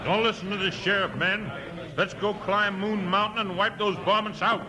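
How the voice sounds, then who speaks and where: An actor playing a hot-headed, vengeful rancher with blustering American western dialect in a 1950s radio drama. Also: male voice, sits high, steady tone, normal speed, music in the background, lightly acted. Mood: belligerent. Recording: noisy, clicking 1950s radio recording.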